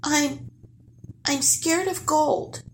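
A woman voicing a small, timid girl who stammers and whimpers in a high, quavering voice. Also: extremely slow, slight background noise, heavily acted. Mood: stammering. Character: small timid girl called Little Goose.

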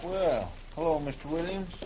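Loyal country deputy, male actor, rural American accent, plainspoken, higher-pitched, muffled 1950s radio western sound. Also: expressive, moderate pace, heavy background noise, lightly acted. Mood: curious, deferential. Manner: deferential, plainspoken. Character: loyal, folksy, earnest deputy sidekick. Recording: muffled old radio recording.

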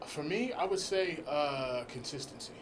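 Lively-voiced man musing with noise behind. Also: fairly high pitch, moderate pace. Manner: reflective, hesitant, laughs easily. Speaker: podcast co-host. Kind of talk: casual chat. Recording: noisy background.

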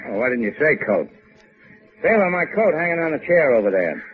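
Easygoing tough radio adventurer, muffled. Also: male voice, fairly high pitch, very animated, brisk, soft background music. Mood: puzzled, brisk. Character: wry, easygoing tough male adventurer hero of Havana crime serial. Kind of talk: 1950s radio drama acting. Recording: muffled 1950s radio recording.

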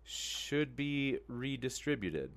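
A man hosting a stream, briskly thanking viewers and reading their comments aloud, slowing down carefully over the quotes. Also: mid-range voice, very animated, vocal fry.

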